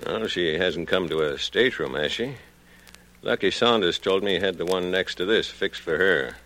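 An actor playing a matter-of-fact passenger on a gambling ship in a 1940s radio drama. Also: male voice, low-pitched, moderate pace, fairly bright tone.

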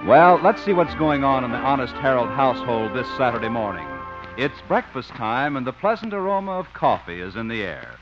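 A man's voice: medium pitch, very animated, fairly quick, loud music behind the voice. A man announcing and narrating a 1950s radio show in a scripted style. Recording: muffled 1950s radio recording.

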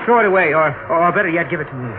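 An actor playing a guarded, secretive husband in a 1940s radio mystery, terse and evasive. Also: male voice, a little high, animated, rapid-fire, close to an everyday voice. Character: curt, guarded husband hiding something. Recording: noisy, muffled vintage radio broadcast.

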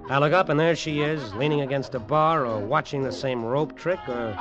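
A man's voice: mid-range voice, moderate pace, natural voice. An actor with an American accent playing a sardonic, hard-boiled tough guy in an old radio noir drama. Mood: brooding. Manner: clipped, sardonic. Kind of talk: 1940s-50s radio drama acting, noir narration. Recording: dull old radio recording with music.

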